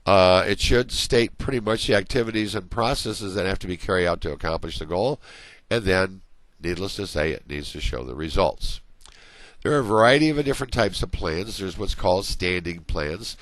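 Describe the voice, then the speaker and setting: A man's voice: deep voice, normal speed, fairly bright tone, no echo. A man giving a recorded college management lecture over slides, conversational and full of everyday examples, while he is losing his voice.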